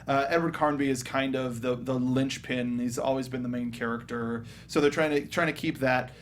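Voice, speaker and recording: A man co-hosting a casual film review panel, talking in a halting, stop-start way. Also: mid-range voice, fairly even intonation, slight background noise. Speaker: co-host of a film review show.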